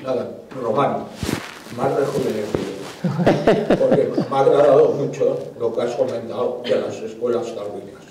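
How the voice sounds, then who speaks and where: An older man from Barcelona in the audience, reminiscing about his youth in an animated, laughing way. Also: mid-range voice, moderate pace, noisy background. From Barcelona, Spain. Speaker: audience member. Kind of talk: casual chat, reminiscing. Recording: distant voice in a very echoey room.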